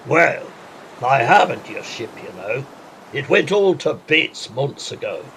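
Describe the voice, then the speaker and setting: An actor playing a bold, hard-drinking squire in an audio drama, hearty and blustering. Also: male voice, mid-range voice, very animated, a little slow, fairly bright tone, a little hiss or hum, rough recording. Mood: blunt, impatient.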